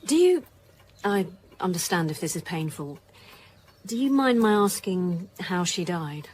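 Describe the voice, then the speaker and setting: A woman's voice: lowish voice, big pitch swings, a little slow, darkish tone. A woman playing a police detective inspector in a radio drama, direct, probing and tactful, speaking in a matter-of-fact way. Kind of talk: naturalistic radio drama dialogue. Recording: clear background noise, vehicle ambience.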